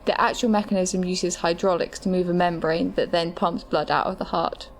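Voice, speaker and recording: A woman's voice: lowish voice, fairly even intonation, normal speed, a little hiss or hum, close to an everyday voice. A woman playing a matter-of-fact medical adviser, reading scripted explanations in an audio drama. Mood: informative.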